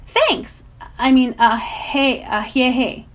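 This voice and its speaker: A woman's voice: sits high, big pitch swings, slow, pitched above the performer's own voice, heavily acted. A woman voicing an eager, respectful young girl, the big-sister heroine of a storybook. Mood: flustered.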